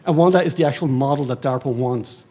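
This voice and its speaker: Husky Irish man, rambling panel talk. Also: mid-range voice, fast. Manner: rambling, animated, self-mocking, heavy with fillers. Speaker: panelist, librarian. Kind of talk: conference panel discussion. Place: conference stage.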